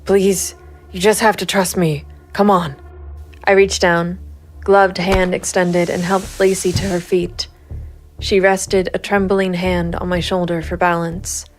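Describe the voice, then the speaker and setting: Woman acting a low-voiced, grim female vigilante. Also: level tone, measured pace, faint music behind, natural voice. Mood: firm, guarded. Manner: controlled, stern, resolute. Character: grim vigilante woman private investigator, cold and composed. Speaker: narrator and lead character. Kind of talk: gritty noir crime drama narration.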